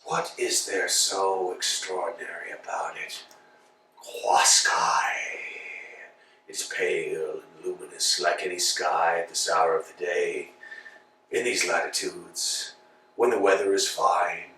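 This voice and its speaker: An actor playing a pompous, blustering landowner in a stage reading, with grand theatrical delivery. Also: male voice, low voice, fairly even intonation, takes it slowly, bright, forceful tone, a little hiss or hum, full character voice. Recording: echoey room from far off.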